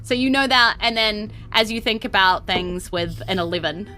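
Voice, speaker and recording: A woman's voice: medium pitch, moderate pace, bright, forceful tone, faint music behind. A woman with a New Zealand accent, casually narrating a tabletop game as game master.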